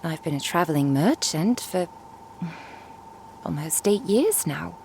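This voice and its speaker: A woman playing a curious, composed traveling merchant and master in an ASMR roleplay, speaking in a measured, formal, inquisitive way. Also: fairly low pitch, very animated, gravelly, darkish tone, a little hiss or hum, heavily acted. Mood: composed, probing. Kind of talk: ASMR roleplay audio drama.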